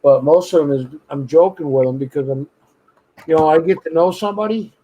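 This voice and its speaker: A man's voice: fairly high pitch, brisk, dark tone, highs cut off, a little hiss or hum. A man from New York hosting a live stream in casual chat, blunt and jokey with profanity.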